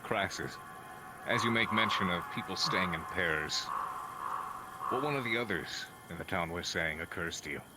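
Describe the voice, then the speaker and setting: Man playing a deep-voiced, deliberate townsman. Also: expressive, loud music behind the voice. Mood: questioning. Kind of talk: improvised live roleplay. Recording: very noisy game voice chat.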